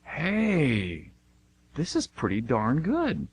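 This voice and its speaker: A man's voice: medium pitch, wildly swooping pitch, extremely slow, slight background noise, voice pushed up, exaggerated voice. A man playing an easygoing, chatty, naive American tourist in an amateur radio play, talking informally. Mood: casual. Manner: informal, breezy.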